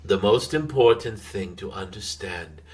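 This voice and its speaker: A man channeling a wise, gentle dragon spirit, giving spiritual guidance in a halting, formal way with frequent humming pauses. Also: on the low side, lightly acted. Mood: calm, instructive. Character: wise, gentle dragon spirit addressing followers as dear ones.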